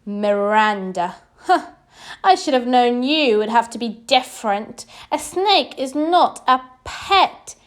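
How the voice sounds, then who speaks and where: A stern storybook headmistress, played by a woman reader who despises children and animals. She snaps and bellows with disdain, stretching her words slowly and swinging widely in pitch. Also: animated. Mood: snapping, scornful. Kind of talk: storybook character voice.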